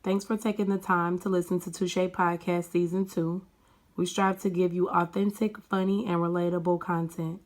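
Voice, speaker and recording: A woman reading a scripted podcast intro in a measured, read-out way. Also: on the low side, flat delivery, a little mellow.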